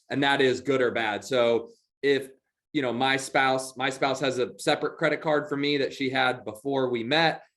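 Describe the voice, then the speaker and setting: Male bank expert; relaxed, loose explainer with many fillers. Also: mid-range voice. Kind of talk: webinar Q&A answers.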